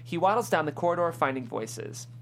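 Very low-voiced woman narrating a screenplay. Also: expressive, fairly quick, slight background noise. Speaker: narrator reading stage directions. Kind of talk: scripted screenplay narration at a table read.

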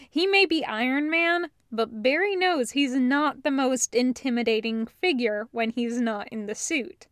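A woman's voice: high voice, dry recording, studio quality. A woman narrating a story as a reader of fan fiction audiobooks.

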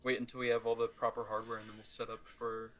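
A man's voice: lowish voice, completely flat delivery, fast, a bit bright. A man co-hosting a podcast in casual, joking banter with a playfully teasing manner. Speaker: podcast co-host.

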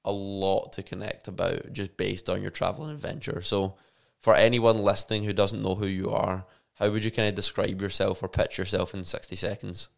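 Gravelly-voiced podcast host. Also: male voice, sits low, flat delivery, normal speed. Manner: friendly, enthusiastic questioning with fillers. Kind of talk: casual interview hosting.

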